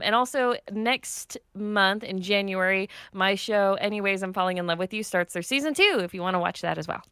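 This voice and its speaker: A woman's voice: mid-range voice, a bit bright, clean tone, dry recording, studio quality. A woman chatting casually as a voice actress guest, in a playful, giggly manner. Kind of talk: casual chat.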